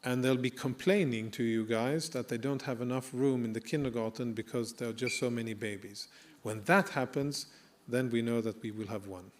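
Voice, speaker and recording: A man who grew up in Sweden giving an earnest dinner speech, full of pauses, fillers and restarts. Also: mid-range voice, background noise. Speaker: guest speaker. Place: banquet hall.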